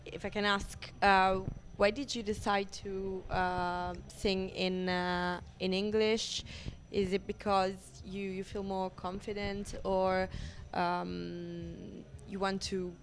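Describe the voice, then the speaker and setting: Slow, halting woman interviewer. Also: medium pitch, flat delivery, faint music behind. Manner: halting, rephrases questions. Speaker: radio interviewer. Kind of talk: casual interview questions.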